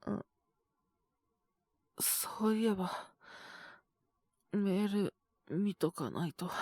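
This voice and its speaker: Woman doing sick tired young guy teacher, slow sighy muttering, blunt but caring, dry close audio drama sound. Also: on the low side, vocal fry, breathy edge, dry recording, full character voice. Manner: weary, brusque, sighing, drawn-out muttering. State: feverish, coughing. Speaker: voice actor. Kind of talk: Japanese situation-voice audio drama.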